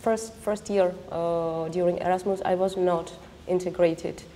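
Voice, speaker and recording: A woman's voice: lowish voice, fairly even intonation, measured pace, mellow, slight background noise. A woman with a Czech accent and Vietnamese as her native language, talking thoughtfully as a panel guest and often repeating words as she searches for them. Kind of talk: panel discussion. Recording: long hall reverb.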